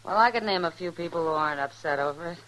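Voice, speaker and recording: A woman's voice: fairly low pitch, fast, a bit bright, a little hiss or hum, natural voice, rough recording. A woman as a frank frontier saloon gal. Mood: scornful. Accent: American. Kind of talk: 1950s radio western acting.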